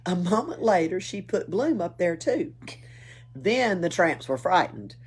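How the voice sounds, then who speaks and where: A woman's voice: lowish voice, very animated, measured pace, slightly rough. A woman reading a children's story aloud as a library storyteller. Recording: faint background noise, room ambience.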